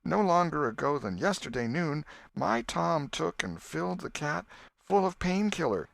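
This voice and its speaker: A man's voice: on the high side, very animated, normal speed, gravelly, no room sound, pitched above the performer's own voice. A man voicing an elderly aunt in an audiobook, fond, scolding and grieving, with a folksy, plaintive manner. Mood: tearful, plaintive. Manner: folksy, plaintive, repeating herself in lament.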